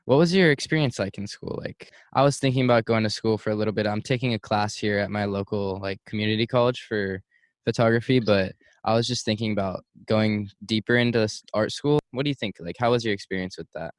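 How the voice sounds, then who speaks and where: A man hosting a podcast in a casual conversation, talking in a relaxed way with many filler words. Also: on the low side, fairly quick, dry recording. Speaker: podcast host.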